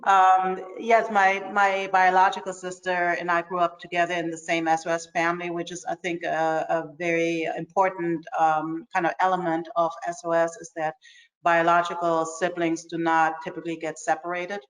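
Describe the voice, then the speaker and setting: A woman from Senegal, speaking on a video call about her upbringing. Her pitch stays quite flat and even, and her telling is measured. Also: poor audio. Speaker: alumna guest. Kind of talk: casual webinar storytelling.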